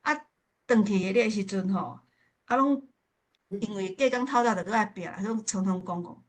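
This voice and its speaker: A woman with a southern Taiwanese accent speaks at an average pitch. She joins a discussion in a casual, personal way, sharing reminiscences in everyday spoken style. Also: normal speed. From Pingtung, southern Taiwan. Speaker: forum discussion participant.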